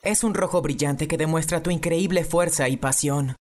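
A man with a Latin American accent voicing a gentle, sincere teenage boy painter in an anime fan dub. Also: on the high side, moderate pace, close to an everyday voice. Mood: gentle, wistful.

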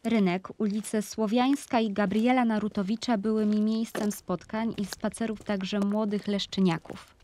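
A woman's voice: mid-range voice, fairly even intonation, moderate pace, hardly any pauses, dark tone, a little hiss or hum. Woman narrating an audio play. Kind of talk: scripted literary narration of town memories.

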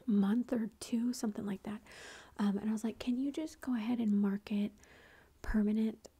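Whispering, breathy woman telling a story. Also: medium pitch, mellow. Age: adult with a son. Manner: rambling, with fillers and quoted dialogue. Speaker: vlogger.

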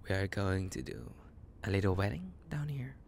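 A man's voice: fairly low pitch, big pitch swings, a little slow, gravelly, a little hiss or hum, heavily acted. A man playing a psychotic, possessive young kidnapper in an intimate audio roleplay, cooing menacingly in half whispers with creepy giggles. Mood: smug, elated.

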